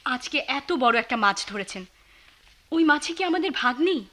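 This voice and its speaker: A hot-headed young daughter in a radio drama. Her voice is high and sharp. She is indignant and challenges whoever she addresses. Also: expressive, moderate pace. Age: young adult. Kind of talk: Bengali radio play acting.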